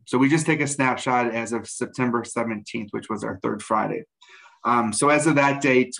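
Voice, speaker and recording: Forties male administrator, steady explanatory delivery with many fillers, webcam audio from home. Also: mid-range voice, poor audio. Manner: measured, frequent um and uh fillers. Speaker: school district administrator. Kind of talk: meeting report. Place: home room, indoors. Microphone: video call webcam.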